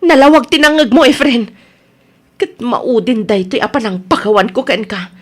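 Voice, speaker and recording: Woman playing a high-voiced, aggrieved wife. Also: normal speed, lightly acted. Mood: stern, hurt. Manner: firm, reproachful. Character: stern aggrieved wife and concerned mother. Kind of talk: radio drama acting.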